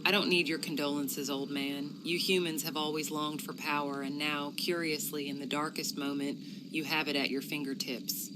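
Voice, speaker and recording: A woman's voice: sits low, little pitch movement, normal speed, noisy background, natural voice. A woman playing a hot-tempered, bitter elf archer in a fantasy game cutscene. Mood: bitter, threatening. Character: furious, bitter female elf archer inquisitor. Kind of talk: scripted video game cutscene acting.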